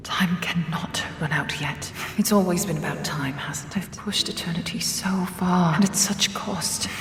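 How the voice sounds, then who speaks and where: An actress playing a weary, resolute immortal woman leader in an audio drama, speaking in a breathy, whispering, intimate way. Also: fairly low pitch, normal speed, a bit bright, soft background music, close to an everyday voice. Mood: whispered, pleading. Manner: breathy, whispering, grave delivery.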